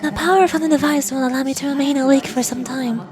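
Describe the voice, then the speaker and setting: A woman's voice: high voice, fairly even intonation, quick pace, darkish tone, natural voice. An actress playing a noble, resolute female leader in a video game, speaking in an earnest, stately and formal way. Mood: earnest, solemn.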